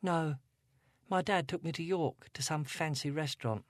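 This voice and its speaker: A man reading aloud, voicing a grieving, anxious teenage girl in a storybook with a soft, breathy voice. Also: a little high, moderate pace, no echo, studio quality, voice pushed up, natural voice. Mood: upset.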